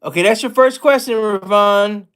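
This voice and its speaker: Guy with a kinda high voice just rambling on his stream, talking to chat, laughing here and there. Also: moderate pace, fairly bright tone, clean tone. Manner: loose, rambling, with frequent restarts and chuckles. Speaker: livestream host reading chat. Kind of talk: casual livestream chat.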